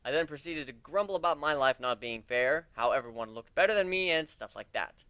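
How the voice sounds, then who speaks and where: A man narrates a story in the first person with a bright, forceful voice. His pitch sits fairly high and moves around a lot, giving an expressive read. The sound is dry and close. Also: a little fast, no echo. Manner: animated, expressive. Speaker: narrator of a fan story reading.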